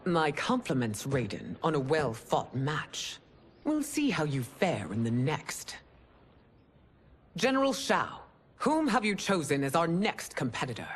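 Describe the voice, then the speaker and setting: A woman playing an imperious fantasy empress in a cinematic game, speaking in a formal, measured, commanding way. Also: low voice, big pitch swings, a little hiss or hum. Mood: composed, commanding. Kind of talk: cinematic video game acting.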